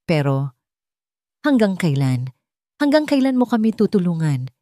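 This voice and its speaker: A woman with a Filipino accent voicing a shaken, protective young mother who speaks softly in an audiobook drama. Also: fairly low pitch, very animated, unhurried, dry recording, studio quality. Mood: worried. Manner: soft, near-murmuring.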